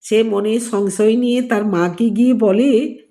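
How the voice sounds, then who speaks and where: A woman reads a scripted family drama in a measured, deliberate storytelling manner. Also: on the high side, fairly even intonation, dark tone. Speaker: storyteller reading own written story.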